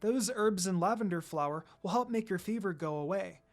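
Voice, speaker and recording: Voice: medium pitch, brisk, faint music behind, close to an everyday voice. A narrator voices a young female wolf demon princess in a fantasy story. She is friendly and eager to help, and talks casually. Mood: concerned, eager.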